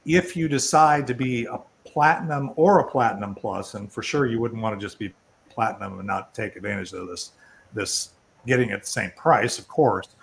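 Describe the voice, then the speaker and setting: A man's voice: fairly low pitch, normal speed, gravelly. Older man hosting, rambling and conversational. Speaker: host of an online networking event. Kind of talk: informal presentation and question session. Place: online video call.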